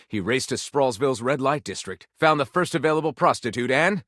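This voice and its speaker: A melodramatic male storyteller, played by an actor for a video game, spins pulpy adventure tales as grand theatre. His pitch swings widely and he stretches out suspenseful pauses with overwrought relish. The recording is close and dry. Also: expressive, a bit bright, no echo, natural voice. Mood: dramatic, suspenseful. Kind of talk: scripted dramatic narration for a video game.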